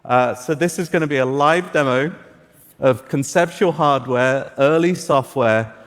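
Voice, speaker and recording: A man whose first language is Farsi presents a live tech demo on stage, relaxed and joking, with some filler words. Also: medium pitch, a little slow. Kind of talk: live product demo talk. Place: stage of a large auditorium. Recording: echoey hall reverb.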